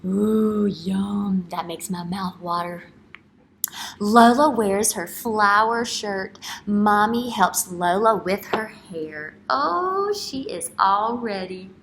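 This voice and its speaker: A woman in her thirties, an elementary teacher reading a picture book aloud and putting questions to young children in an expressive way. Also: medium pitch, takes it slowly. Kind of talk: children's read-aloud and retelling lesson. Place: indoor classroom. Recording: clear background room noise.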